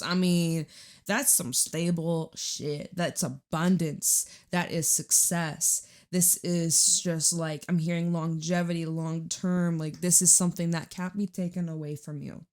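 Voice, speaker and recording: A woman with a raspy, hoarse voice giving a chatty, reassuring tarot reading to her viewers and swearing now and then. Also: fairly low pitch, steady tone, a little slow, a little mellow. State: hoarse from screaming. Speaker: tarot reader.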